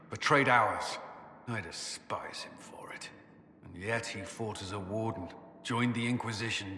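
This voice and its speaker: An actor playing a stern, earnest army commander in a dramatic video game, speaking gravely and with measured delivery. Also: male voice, fairly low pitch, sharp tone. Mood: bitter, indignant. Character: armoured military commander, stern, disappointed, earnest man. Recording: echoey stone hall reverb, noisy background.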